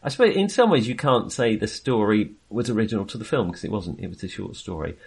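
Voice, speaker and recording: A man's voice: on the low side, expressive, fast, vocal fry, darkish tone, slightly rough. A man co-hosting a film podcast, chatting casually in a hesitant, wandering way with self-amused asides.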